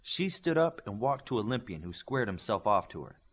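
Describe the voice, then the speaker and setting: Expressive man reading a story aloud. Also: fairly low pitch, normal speed. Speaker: audiobook narrator. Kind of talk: scripted fiction narration with voiced dialogue.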